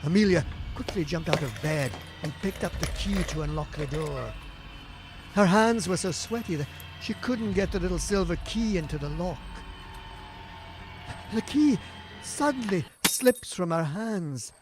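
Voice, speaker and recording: A man's voice: high-pitched, expressive, unhurried. A man narrating a scripted gothic horror tale in a theatrical, suspenseful storytelling manner with swelling emphasis. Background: music.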